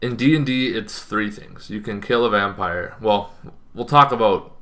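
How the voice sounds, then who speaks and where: Young man, bright forceful tone, fairly low pitch, casual explainer, emphatic pauses. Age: young adult. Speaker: tabletop gaming video host. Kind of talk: casual explainer talk to camera. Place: small bedroom, indoors.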